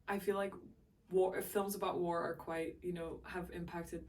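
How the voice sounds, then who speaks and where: A woman's voice: fairly low pitch, flat delivery, moderate pace, a little mellow. A young woman who grew up in Luxembourg, answering interview questions hesitantly with many fillers. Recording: distant voice with faint background hum.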